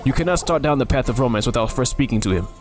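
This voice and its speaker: A man's voice: mid-range voice, animated, rapid-fire, over music, close to an everyday voice. A man voicing a formal, grand-speaking male Cupid who guards love, reading a dating game aloud. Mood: lofty. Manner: grand, old-fashioned formal speech.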